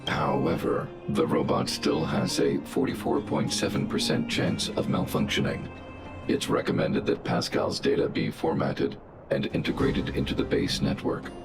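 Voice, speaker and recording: An actor voicing a huge, stoic guardian robot that gives flat, logical reports in percentages, his voice processed to sound robotic. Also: very deep voice, normal speed. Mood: calm analytic. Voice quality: gravelly, flat mechanical delivery. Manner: cold analytic reports in percentages. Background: game music.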